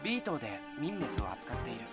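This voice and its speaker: A low, boyish voice belongs to a cool, enigmatic boy in a visual novel. He talks fast and swings his pitch in a knowing, teasing way, over loud music. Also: sing-song, creaky, heavily acted. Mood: knowing, cryptic. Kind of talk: Japanese visual novel voice acting. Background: loud background music.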